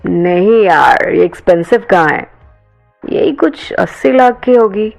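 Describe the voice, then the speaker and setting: A woman voicing an arrogant, threatening rich young man in an audio story, with a sharp, cocky, commanding manner. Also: mid-range voice, lively intonation, a little slow, gravelly, faint music behind. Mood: casual boasting.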